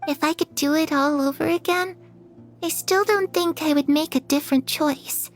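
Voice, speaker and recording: A woman voicing a gentle, trusting young girl in a video game, earnest and softly wistful. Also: high voice, soft background music, close to an everyday voice. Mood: reflective. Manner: soft, unhurried, earnest. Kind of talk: dramatic video game acting.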